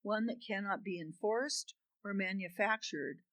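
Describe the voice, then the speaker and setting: Calm woman reading deliberately. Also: mid-range voice, no echo. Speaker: reader. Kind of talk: scripted dramatic reading.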